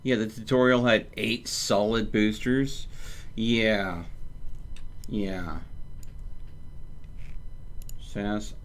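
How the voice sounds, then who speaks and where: A man doing casual live gameplay commentary, thinking aloud and explaining as he goes, often halting mid-sentence. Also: lowish voice. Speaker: video game streamer. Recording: clear steady background noise.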